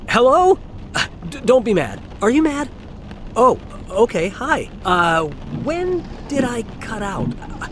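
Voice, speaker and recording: An actor playing a young delivery driver, a nervous, halting, chatty man on a phone call in an audio drama. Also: sits high. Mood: flustered, placating. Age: young adult. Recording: clear vehicle and outdoor ambience noise.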